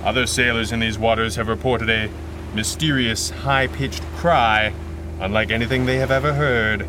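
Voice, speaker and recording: An actor playing a seasoned masked hero and devoted husband, measured, articulate and dryly understated, in a 1930s pulp radio drama style. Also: male voice, medium pitch, fairly even intonation, background noise, close to an everyday voice. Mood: matter-of-fact, intrigued. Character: seasoned masked male adventurer, calm, dry-witted, devoted husband.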